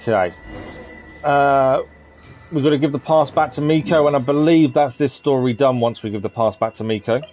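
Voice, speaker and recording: A man's voice: mid-range voice, normal speed. A man live streaming a game, talking casually with his chat in a conversational, explanatory way. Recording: slightly dull mic over game music.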